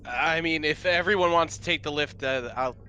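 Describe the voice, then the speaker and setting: A man's voice: fairly high pitch, normal speed, sharp tone, slight background noise. A man playing a tabletop roleplaying game, talking casually.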